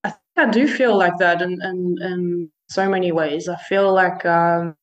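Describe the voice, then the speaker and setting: A woman's voice: fairly low pitch, fairly even intonation, a little slow, muffled recording. A woman musician being interviewed, talking in a hesitant way with many fillers and restarts. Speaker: musician guest. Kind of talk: casual interview.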